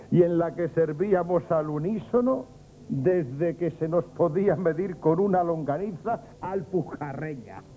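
An actor from a radio comedy playing a jovial, pompous friend of a shopkeeper, with flowery speech and a Spanish accent. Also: male voice, fairly high pitch, measured pace, dark tone, natural voice. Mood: nostalgic, merry. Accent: Spain. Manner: pompous, flowery, grandiloquent wordplay, chuckling. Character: jovial old shop friend Liborio, pompous flowery talker. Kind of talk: comic radio theatre acting. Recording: noisy 1970s radio drama tape.

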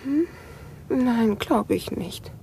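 A woman's voice: fairly high pitch, animated, takes it slowly, gravelly, dark tone, slight background noise, heavily acted. An actress playing a shaken, talkative woman just out of a car crash in a 1970s radio drama. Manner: breathless, talkative.

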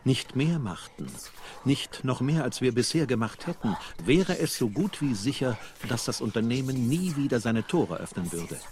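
A man narrating a literary radio play as a grave, husky voice relaying warnings. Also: medium pitch, expressive, moderate pace, darkish tone, natural voice.

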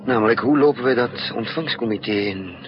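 A man's voice: mid-range voice, fairly quick, close to an everyday voice. An actor playing a resourceful male spy in a 1960s Dutch radio drama, thinking aloud in hesitant, broken phrases. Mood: urgent planning. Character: resourceful male spy narrating his own risky mission. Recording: muffled, noisy 1960s radio recording.

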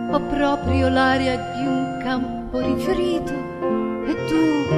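Female singer-actress, high register, very unhurried theatrical song delivery over prominent piano and accordion. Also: reverberant. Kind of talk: theatrical sung and half-spoken song performance. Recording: music playing with the voice. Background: piano, accordion and guitar music.

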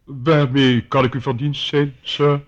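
An actor playing a stiff, formal, hesitant butler in a radio drama. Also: male voice, mid-range voice, flat delivery, unhurried, natural voice. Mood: stiff, guarded. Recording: dull old radio play recording.

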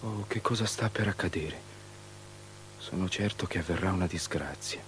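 An actor playing a fearful, foreboding attendant to a princess in a stylized radio drama. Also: male voice, low voice, normal speed, noisy background, lightly acted. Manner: anxious, foreboding.

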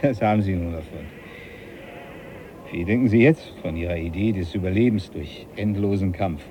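An actor playing a stubborn, pious, hot-tempered ship's captain in a radio drama, blustering and emphatic. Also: male voice, sits low, normal speed, warm tone, a little hiss or hum, close to an everyday voice. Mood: subdued, defiant. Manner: blustering, emphatic, short exclamations.

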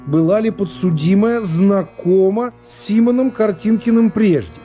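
A man's voice: high-pitched, moderate pace, warm tone, soft background music, close to an everyday voice. A man voicing a self-important assistant prosecutor in a radio play, putting questions in a stilted, over-formal way. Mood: pompous, probing. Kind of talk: radio play character acting.

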